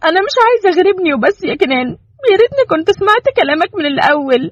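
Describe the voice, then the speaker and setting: Brief: woman playing a frantic young Egyptian wife and mother, husky, high and teary, fast talker, dull dry storybook recording. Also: very animated, dry recording. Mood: earnest. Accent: Egyptian Arabic. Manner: high, crying, breaking voice. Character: young mother, anxious, tearful, pleading wife.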